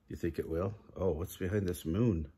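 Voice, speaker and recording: Husky, slow-paced older man reading aloud. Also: sits low, fairly even intonation, dark tone. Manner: chuckles often, asks the listening children questions. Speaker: grandpa reading picture books aloud for kids. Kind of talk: children's storybook read-aloud with casual asides. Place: living room, indoors.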